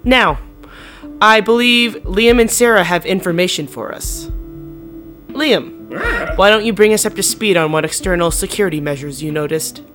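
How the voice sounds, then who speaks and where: A woman playing a stern team leader in an audio drama, with formal, dry, measured speech. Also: medium pitch, expressive, a bit bright, faint music behind, close to an everyday voice. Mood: dry, businesslike.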